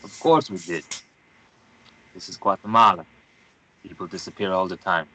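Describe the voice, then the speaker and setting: A man's voice: low-pitched, moderate pace, bright, forceful tone, low-quality recording. A man playing a curt, grave Guatemalan newspaperman in his thirties at a screenplay table read. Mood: curt, dismissive.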